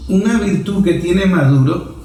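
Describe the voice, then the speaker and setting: A man's voice: sits high, unhurried, dark tone, heavy reverb, poor audio. A man hosting a radio talk show, eagerly agreeing and finishing his guest's sentences. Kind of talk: casual political chat.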